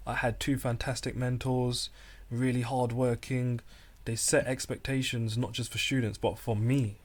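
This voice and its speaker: A man co-hosting a casual chat, speaking in a calm, easygoing way with frequent ums. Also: medium pitch, moderate pace. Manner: calm, relaxed, hesitant with fillers. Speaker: podcast co-host.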